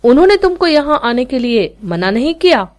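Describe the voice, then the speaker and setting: Woman reading a curious gentleman with animated pitch. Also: normal speed. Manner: inquisitive, inviting. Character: curious, kindly gentleman questioning a street boy.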